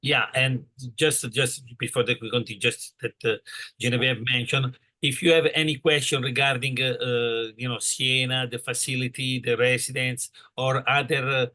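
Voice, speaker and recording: A man from Italy with an Italian accent, speaking as an on-site program coordinator in a halting, friendly way with many fillers. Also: medium pitch, fairly even intonation, sharp tone. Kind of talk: casual info session talk.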